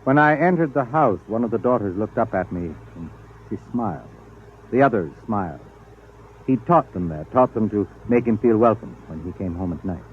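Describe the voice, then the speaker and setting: An actor playing a shaken spaceship crewman tormented by conscience, halting and brooding, in 1950s radio drama style. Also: lowish voice, expressive, lightly acted. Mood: shaken, guilty. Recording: muffled, noisy vintage radio recording.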